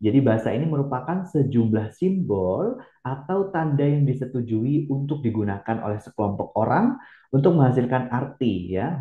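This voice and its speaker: Echoey phone-quality male lecturer. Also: medium pitch. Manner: explanatory, many filler pauses. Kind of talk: recorded lecture. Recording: echoey room, phone-like band.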